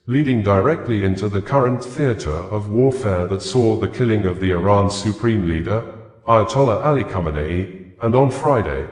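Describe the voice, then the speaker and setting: A man reading a scripted news bulletin in a steady, formal delivery. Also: lowish voice, roomy sound. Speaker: news reader.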